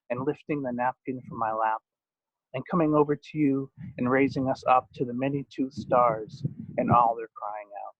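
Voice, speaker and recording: A man's voice: medium pitch, dry recording. Man at a literary reading, dull dry recording. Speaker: poet and author. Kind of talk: author reading of own poetry and stories with informal asides. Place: at home. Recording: dull, muffled lo-fi sound.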